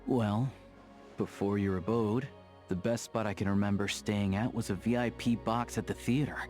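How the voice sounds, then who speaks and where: A man's voice: on the low side, fairly even intonation, normal speed, darkish tone, soft background music, close to an everyday voice. A man voicing a hardy, principled young man of the snowfields in a video game, speaking in an easygoing, plain-spoken way. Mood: reflective, sincere. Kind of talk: video game character voice acting.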